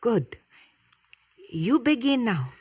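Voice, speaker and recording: An actress playing an earnest, quietly determined young woman speaking halting broken English in a husky voice in a 1950s radio drama. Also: fairly high pitch, sing-song, no echo, heavily acted. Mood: earnest, grateful.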